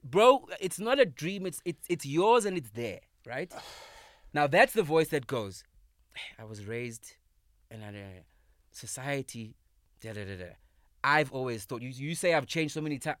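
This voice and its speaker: A man in his thirties, a comedian guest in a podcast studio, talking casually, emphatic and animated, swearing freely. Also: mid-range voice, normal speed, dry recording. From South Africa. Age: 30s. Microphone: studio microphone.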